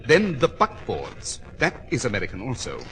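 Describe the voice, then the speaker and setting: An actor playing a shrewd, secretive English detective in an old-time radio drama, clipped and authoritative. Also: male voice, mid-range voice, wildly swooping pitch, normal speed, rough recording. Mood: knowing.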